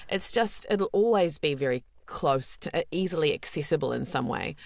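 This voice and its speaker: A woman with a deep voice, a voice actor being interviewed as a guest, talking candidly and reflectively, with many fillers and restarts. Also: expressive, normal speed, gravelly, darkish tone, no echo, clean studio sound. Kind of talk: podcast interview.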